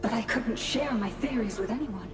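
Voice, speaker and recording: A woman's voice: fairly high pitch, normal speed, natural voice. Game role: actress as trapped, sorrowful shadow woman, earnest and solemn, echoing voice over prominent music. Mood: reflective. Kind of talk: video game voice acting. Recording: roomy echo, music behind.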